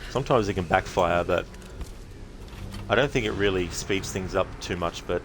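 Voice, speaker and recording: A man's voice: deep voice, normal speed. A man giving casual gameplay commentary as a live streamer. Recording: loud game noise behind voice.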